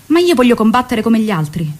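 An actress playing an earnest, stubborn young student turned guerrilla, in theatrical dialogue and recollection. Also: female voice, fairly high pitch, big pitch swings, brisk, a little mellow. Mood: eager. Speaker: stage actor. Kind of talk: theatrical dialogue and remembered narration.

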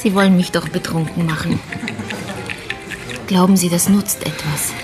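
A woman's voice: fairly low pitch, steady tone, moderate pace, over music, natural voice. An actress playing an earnest, vulnerable policewoman in a radio crime drama, speaking plainly and directly. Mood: wary, teasing.

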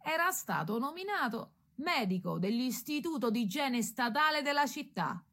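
A woman's voice: sits high, lively intonation, normal speed, a bit bright, heavily acted. A woman playing a mocking working-class townswoman narrator in a radio drama, with a Roman accent and colloquial theatrical delivery. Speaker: narrator in a radio stage serial. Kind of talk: theatrical storytelling in colloquial dialect.

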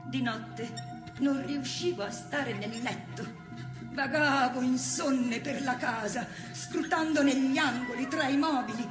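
Slow, echoing haunted woman. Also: high voice. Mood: fearful, haunted. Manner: measured, deliberate, dramatic phrasing. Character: haunted, reflective woman recalling childhood fear of spirits. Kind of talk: poetic theatrical monologue. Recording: echoing theatrical sound with prominent music. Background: music.

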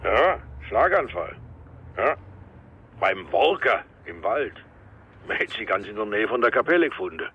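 An actor playing a terse, plain-spoken old villager in a radio drama, talking haltingly over the phone. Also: male voice, on the low side, sing-song, husky, heavily acted. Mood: subdued, terse. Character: old village widower, plain-spoken bearer of bad news. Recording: dull, muffled phone call.

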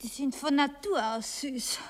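A pert young Viennese working girl, voiced very high, coy and prone to giggles. Her lines carry a long reverb, in the manner of a 1960s radio drama. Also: a little slow. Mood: teasing. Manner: coquettish, pert, breaking into giggles. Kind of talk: 1960s German radio play acting.

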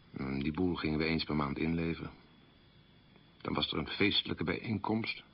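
A man playing someone who looks back on a wartime childhood in measured, plain sentences, as in a radio monologue. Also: rumbling low, breathy edge, highs cut off, heavily acted. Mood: wistful recollection. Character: man recalling his childhood in a wartime collaborator family. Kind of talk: radio documentary-drama monologue, reminiscing.

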